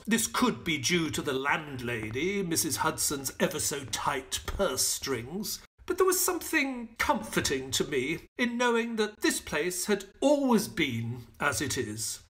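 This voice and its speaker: An actor playing a proper, reflective middle-aged army doctor, narrating formally and with measure in a British accent. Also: male voice, a little high, fairly bright tone. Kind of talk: dramatic first-person scripted narration.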